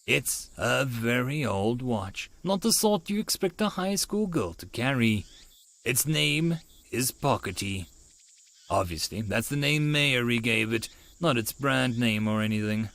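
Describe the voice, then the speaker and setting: A man narrating a first-person story as an audiobook reader, in an expressive storytelling style. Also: mid-range voice, normal speed.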